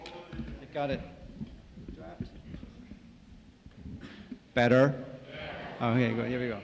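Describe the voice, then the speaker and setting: A man's voice: mid-range voice, slow, vocal fry. Man, German accent, lecturer, joking asides, long reverb, faint background noise. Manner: self-deprecating, joking asides, many fillers. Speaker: panel speaker, law lecturer. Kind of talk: public lecture talk.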